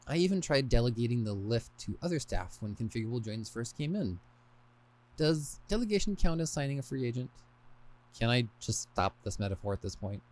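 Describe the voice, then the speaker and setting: A man's voice: mid-range voice, a little mellow. A man hosting a podcast and reading his own scripted book narration, with wry, self-deprecating asides. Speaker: podcast host and author.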